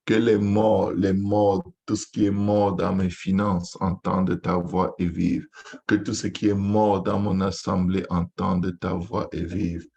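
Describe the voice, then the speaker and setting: A man with a dark, mellow voice leads a fervent Pentecostal prayer. He speaks slowly on a fairly flat tone, pleading in repeated invocations. The recording is a little dull and lo-fi. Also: deep voice, vocal fry. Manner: repetitive, pleading, chant-like invocation. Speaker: pastor leading prayer.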